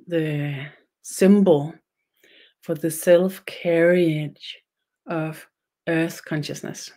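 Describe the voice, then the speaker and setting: Woman with Danish accent, low voice, slow halting delivery, webinar host. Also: warm tone. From Denmark. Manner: halting, pauses often, repeats words. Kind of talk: slow meditative teaching.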